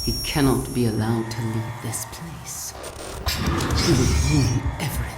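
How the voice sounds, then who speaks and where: An actress playing a sinister, fanatical cult leader in a video game, solemn, deliberate and menacing. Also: female voice, very deep voice, echoey room, distant mic, over loud music. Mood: urgent menace. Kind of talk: video game cutscene acting.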